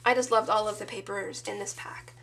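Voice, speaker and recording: Hoarse, gravelly-voiced woman crafter. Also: mid-range voice, fairly quick. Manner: relaxed, chatty, enthusiastic about her materials. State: sore throat, losing her voice. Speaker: craft vlogger showing her scrapbook. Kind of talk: casual show-and-tell.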